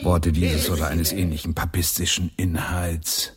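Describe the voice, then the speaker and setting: A man's voice: low voice, level tone, unhurried, a loud crowd. A man acting in a radio play as a dry, mocking English traveller who interprets for his companions.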